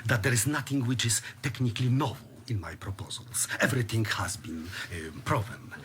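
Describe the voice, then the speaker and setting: An actor playing a zealous Polish scientist with a Polish accent, formal, halting and insistent, in a radio drama. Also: male voice, mid-range voice, lively intonation, a bit bright, slight background noise, lightly acted. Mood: courteous, eager. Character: zealous Polish scientist, pressing for missiles, anti-communist.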